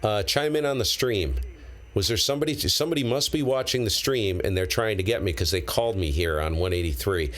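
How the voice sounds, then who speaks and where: A man's voice: on the low side, brisk. A man running a ham radio livestream, mixing casual chat with radio calls. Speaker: amateur radio operator live-streaming a contest. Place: cold basement radio room.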